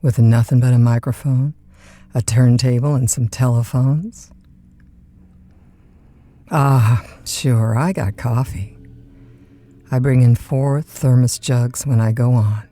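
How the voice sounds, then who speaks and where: A woman's voice: rumbling low, slow, pauses often, dark tone, exaggerated voice. A woman playing a chatty, lonesome overnight disc jockey in an audio drama, with a folksy, polite, hesitant conversational manner. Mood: wry, weary. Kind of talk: naturalistic audio drama acting, one side of phone calls.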